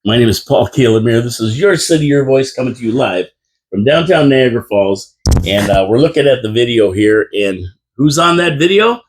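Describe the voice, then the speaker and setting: A man's voice: mid-range voice, expressive, normal speed. A man hosting a live local radio talk show from a small studio, chatty and rambling with lots of restarts. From Canada. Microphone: studio microphone.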